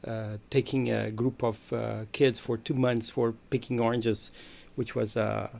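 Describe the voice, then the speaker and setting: A middle-aged man telling his story as an interview guest, in halting English. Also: mid-range voice, level tone, gravelly, a little mellow. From Brazil. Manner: halting, non-native phrasing. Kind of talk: conversational personal storytelling.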